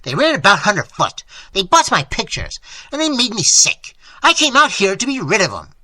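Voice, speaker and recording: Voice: mid-range voice, wildly swooping pitch, moderate pace, bright, forceful tone, breathy edge, no room sound, full character voice. A narrator voicing an irascible older male painter who rants and craves quarrels, with an explosive, barking delivery, in a story reading. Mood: panting disgust.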